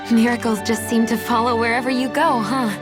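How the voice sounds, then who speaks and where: Actress as cautiously hopeful young heroine, game drama. Higher voice, slow and hesitant, music behind. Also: female voice, flat delivery, lightly acted. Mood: tender. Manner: hesitant, earnest. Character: guarded, vulnerable cursed young woman, cautiously hopeful. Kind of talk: dramatic game cutscene acting.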